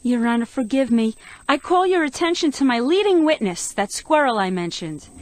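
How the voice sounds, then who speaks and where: A woman's voice: fairly high pitch, sing-song, moderate pace. A woman playing an earnest bird prosecutor, pleading formally and theatrically in a rhymed comic story. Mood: pleading, insistent.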